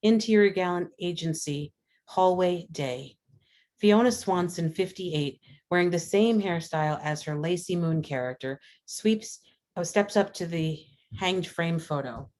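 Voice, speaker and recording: A woman with a low-pitched voice serves as narrator for a script read-through. She delivers scene headings and stage directions as scripted narration. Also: a little slow, darkish tone.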